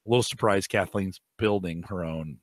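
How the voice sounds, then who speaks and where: A middle-aged man hosting a casual tech podcast, hoarse and raspy from allergies, rambling with fillers and restarts, on a boom-arm studio mic in a home studio. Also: moderate pace, no room sound. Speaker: podcast host. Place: home studio room with shelves.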